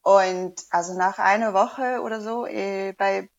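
A woman's voice: medium pitch, steady tone, measured pace, dull audio. A woman whose first language is Danish, a diving instructor, chatting casually in a hesitant way with many fillers and restarts. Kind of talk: casual interview chat.

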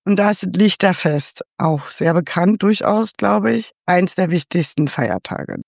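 A woman's voice: fairly low pitch, lively intonation, a little slow, gravelly, darkish tone. A woman hosting, giving a casual, slightly unsure overview and checking facts with her guests. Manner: chatty, a bit unsure, asks for confirmation. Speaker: host.

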